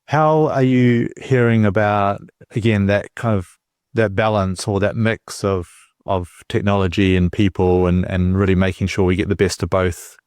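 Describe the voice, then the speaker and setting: A man with a fairly low voice hosts a conversational interview. He talks discursively, rewording points as he builds toward a question. The recording is very dry and free of room sound. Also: steady tone, normal speed, darkish tone, clean tone, no room sound. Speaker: podcast host, interviewer.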